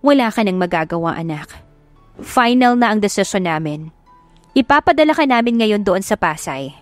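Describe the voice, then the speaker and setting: A woman's voice: mid-range voice, animated, normal speed, no echo, close to an everyday voice. A woman voicing a firm, reproachful middle-aged mother with a Filipino accent in an audio drama. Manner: reproachful, insistent. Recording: music behind the voice.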